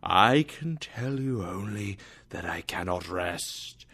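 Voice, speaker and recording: A man voicing the ghost of a dead businessman, whispering slowly and gravely. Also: low-pitched, animated, heavily acted. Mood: mournful. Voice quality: whispery, hushed. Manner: slow, grave. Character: ghost of a dead old businessman in chains, mournful.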